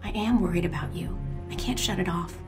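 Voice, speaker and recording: An actress voicing an earnest, worried young intelligence handler in a video game, serious and hesitant. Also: female voice, lowish voice, fairly quick, music in the background, natural voice. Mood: anxious, tender. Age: young adult. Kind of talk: video game cutscene dialogue.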